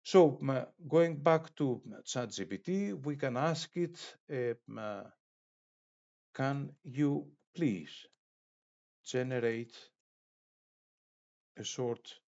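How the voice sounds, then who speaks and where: A middle-aged man giving an instructional demonstration as an online course lecturer, speaking in a halting, deliberate way with frequent hesitations. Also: mid-range voice, lively intonation, creaky, darkish tone. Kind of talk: instructional screen demonstration, unscripted. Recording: dull, muffled lo-fi sound.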